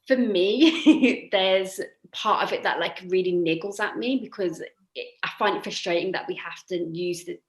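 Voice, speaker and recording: A woman's voice: mid-range voice, normal speed. A woman hosting a conversational discussion, speaking in a thoughtful, somewhat hesitant way. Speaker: host. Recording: echoey room.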